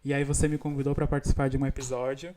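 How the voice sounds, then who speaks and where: A man's voice: mid-range voice, little pitch movement, very fast, dark tone. A Brazilian man co-hosting a podcast in casual chat, often restarting his words and laughing easily. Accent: Brazilian Portuguese. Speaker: podcast co-host.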